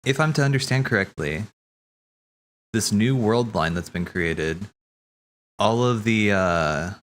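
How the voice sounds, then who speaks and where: A man streaming casual, rambling theory commentary, thinking aloud haltingly, correcting himself and swearing casually. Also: fairly low pitch, lively intonation.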